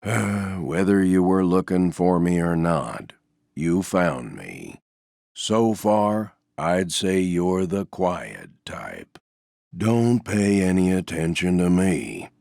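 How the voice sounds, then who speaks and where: A man voicing a gloomy, resigned, self-effacing stuffed donkey for a video game, with a mournful, deadpan, sighing delivery. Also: low-pitched, slow, vocal fry, dry recording, clean studio sound, exaggerated voice. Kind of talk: video game character voice lines.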